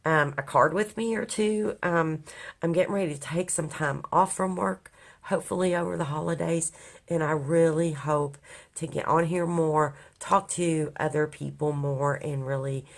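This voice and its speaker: Woman crafter from Kentucky, very low raspy voice, hoarse from a cold, rambling homey chat. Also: moderate pace. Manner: rambling, many ums. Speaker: craft vlogger. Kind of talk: casual crafting vlog. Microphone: overhead camera on a craft table.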